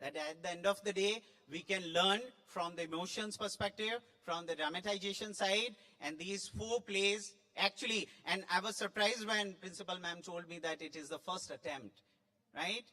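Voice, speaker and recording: A man's voice: sits high, normal speed, bright, forceful tone. A man giving an effusive, emphatic impromptu speech as a judge at a school play event. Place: large school hall. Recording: echoing hall with long reverb.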